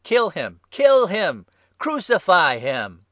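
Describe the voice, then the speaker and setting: A man voicing an angry mob of priests demanding an execution, shouting insistently in a dramatised scripture reading. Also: sits high, huge pitch swings, takes it slowly, dry recording, studio quality, pitched above the performer's own voice, full character voice. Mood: furious shouting.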